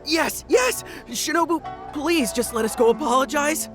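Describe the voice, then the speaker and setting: A woman's voice: sits high, normal speed, close to an everyday voice. A woman playing a flustered, eager-to-please young gang underling in a video game, stammering and pleading. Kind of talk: animated video game voice acting. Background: game music.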